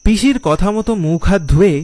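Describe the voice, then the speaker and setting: High-voiced anxious nephew played by a man. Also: animated, fairly quick, darkish tone, dry recording. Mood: uneasy. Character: frightened nephew recounting a ghost encounter. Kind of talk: dramatic first-person cartoon narration.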